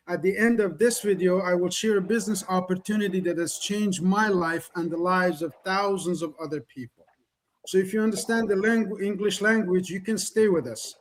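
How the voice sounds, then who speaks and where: Middle-aged male presenter from the Middle East, not a native English speaker, higher voice, earnest and repetitive, on a video call. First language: Kurdish or Persian. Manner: earnest, repetitive, rhetorical questions. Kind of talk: online presentation, motivational pitch.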